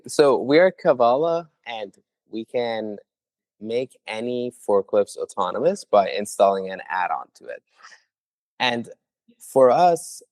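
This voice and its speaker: A young man with a low voice explains his startup in an earnest, conversational way, often leaning on small fillers. The sound is dry and close, with no room around it. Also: a little mellow, no echo. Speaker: startup founder, guest. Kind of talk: conversational interview answers.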